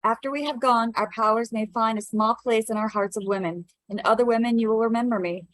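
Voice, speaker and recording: A serene elf queen, played by a woman at a fantasy script reading. Her fairly high voice is lyrical, solemn and tinged with sorrow. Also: steady tone, fairly quick, natural voice, low-quality recording. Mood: wistful, solemn. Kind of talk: table read of a fantasy script.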